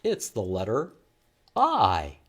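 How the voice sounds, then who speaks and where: Animated, slow-paced man leading children's storytime. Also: on the low side, slightly rough. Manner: animated, asks questions and repeats words for children. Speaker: children's library storytime presenter. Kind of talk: interactive read-aloud for young children.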